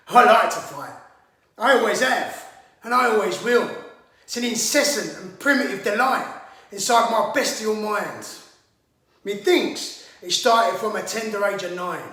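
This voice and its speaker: A man's voice: high voice, sharp tone, heavily acted. A man performing a theatrical monologue as a hot-tempered, swaggering brawler with mock-grand, sweary speech, in an echoey room. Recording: echoey room, distant microphone.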